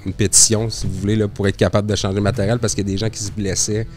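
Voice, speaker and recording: A man with a Québécois accent talks in a lowish voice, explaining radio equipment in a relaxed, rambling podcast manner full of restarts. Faint music sits under the studio recording. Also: rapid-fire. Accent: Québécois French. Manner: explains at length with many restarts and fillers. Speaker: radio communications expert guest. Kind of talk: casual explanatory podcast talk.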